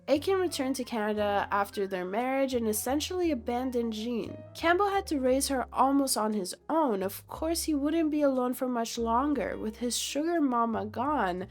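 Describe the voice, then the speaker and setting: A woman giving scripted documentary narration with wry, punning asides. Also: fairly high pitch. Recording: faint music underneath.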